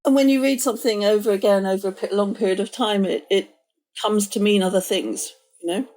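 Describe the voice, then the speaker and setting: A woman's voice: mid-range voice, brisk, darkish tone. A woman hosting a podcast, talking in a thoughtful, casual conversational way. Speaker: podcast host.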